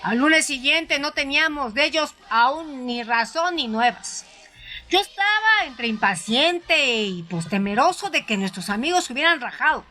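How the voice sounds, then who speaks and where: High, bright-voiced woman narrating a story. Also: expressive, normal speed. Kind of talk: dramatized first-person audiobook narration. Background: music.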